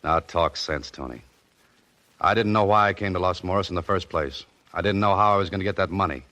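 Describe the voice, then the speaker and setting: A man's voice: sits low, brisk, sharp tone, dry recording. An actor playing a wisecracking, hard-boiled detective who narrates his own case in an old radio drama. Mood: wry. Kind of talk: 1940s radio acting.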